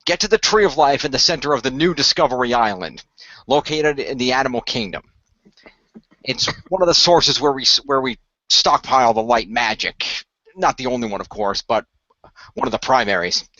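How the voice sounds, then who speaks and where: A man playing an earnest young hero who gives solemn, halting instructions in an amateur radio play. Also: mid-range voice, bright, forceful tone, dry recording, dull audio, natural voice. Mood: solemn, urgent. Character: earnest young hero leaving a grave recorded message.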